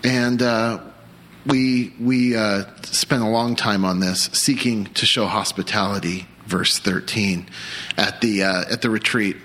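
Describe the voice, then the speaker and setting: Bright-voiced man preaching a sermon. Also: fairly low pitch. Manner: earnest, with frequent restarts and pauses. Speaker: pastor.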